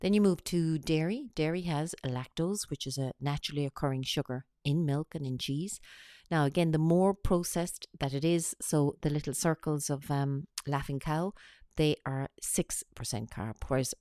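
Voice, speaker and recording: A woman talks through a slide presentation. She has a cold and explains each point in a steady, teacherly way. The sound is close and dry, with no room around it. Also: deep voice, normal speed, no echo. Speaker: weight-loss coach.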